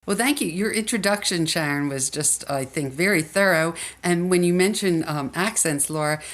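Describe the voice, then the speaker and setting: A woman's voice: fairly low pitch, normal speed, a bit bright. An older woman from the Philadelphia area with a Philly accent, speaking reflectively as an interview guest with frequent restarts. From Philadelphia area, USA. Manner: reflective, many restarts and fillers. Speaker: guest, nurse educator. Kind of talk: interview conversation.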